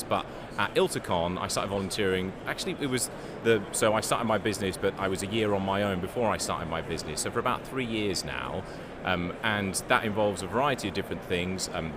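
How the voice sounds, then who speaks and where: A middle-aged man chatting casually as an interview guest. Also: on the low side. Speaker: interview guest, business founder. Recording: clear urban background noise.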